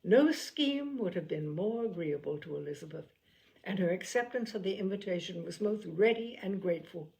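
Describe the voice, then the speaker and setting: A woman reading a classic novel aloud in a measured storytelling manner, now and then correcting a slipped word. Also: medium pitch, lively intonation, gravelly, darkish tone, low-quality recording. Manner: measured storytelling, occasional slips and self-corrections. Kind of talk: audiobook narration.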